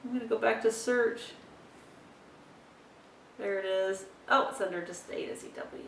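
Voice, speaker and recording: A woman talks through a lesson in a casual way, thinking aloud as she goes. Her pitch is average. She sounds distant from the mic in an echoey room, with some low rumbling noise. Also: normal speed, vocal fry. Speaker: presenter modelling how to use a communication device.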